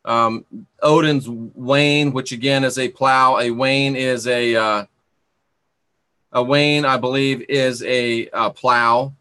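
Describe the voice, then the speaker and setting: A man's voice: medium pitch, steady tone, takes it slowly, bright, forceful tone, smooth. A man hosting an informal live talk, musing aloud to viewers in a rambling, opinionated way and repeating points for emphasis. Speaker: host of an esoteric talk livestream.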